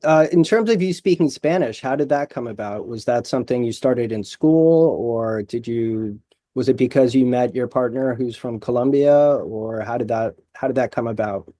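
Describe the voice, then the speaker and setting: A man's voice: mid-range voice, moderate pace, dark tone, no room sound. A man hosting an interview, asking curious questions with hesitant fillers. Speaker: podcast host.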